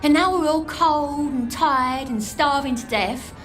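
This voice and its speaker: A woman's voice: high voice, a little slow, fairly bright tone. A cheeky, streetwise Englishwoman. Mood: blunt, wry. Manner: mocking, blunt, slangy. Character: streetwise, scornful homeless Englishwoman, cheeky survivor. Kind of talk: video game character acting.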